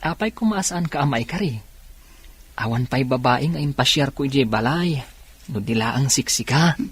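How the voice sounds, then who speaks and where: An actor playing an earnest, shyly courting young male student in a radio drama, with a husky voice. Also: medium pitch, animated, moderate pace, a bit bright. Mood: playful, tender. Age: young adult.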